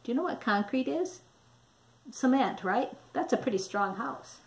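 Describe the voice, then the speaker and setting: A woman in her sixties reading a storybook aloud to children in an animated, deliberate, teacherly manner. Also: high-pitched. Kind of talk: expressive read-aloud to children. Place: small indoor room.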